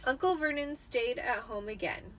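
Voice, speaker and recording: A woman's voice: fairly high pitch, moderate pace, fairly bright tone, a little hiss or hum, low-quality recording. A tipsy woman giving an amateur dramatic reading of a storybook. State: tipsy after drinking wine.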